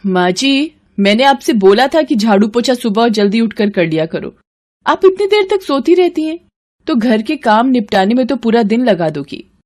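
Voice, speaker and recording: A woman voicing a scheming, sharp-tongued young daughter-in-law in a moral story, scolding and demanding. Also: fairly high pitch, very animated, normal speed, voice pushed up. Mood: scornful scolding.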